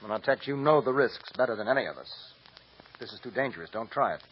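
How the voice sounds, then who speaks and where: An actor playing an authoritative defense lawyer in a 1940s radio drama, brisk and calm. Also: male voice, mid-range voice, a little hiss or hum, lightly acted. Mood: cautious. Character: confident male defense lawyer, calm planner. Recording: dull old radio recording.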